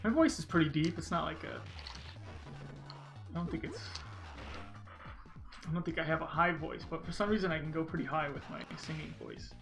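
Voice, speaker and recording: A man's voice: a little high, lively intonation, moderate pace, long pauses, over music. A man streaming a video game, chatting casually with viewers and thinking aloud in a rambling, unsure way. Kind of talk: casual live-stream chat while playing.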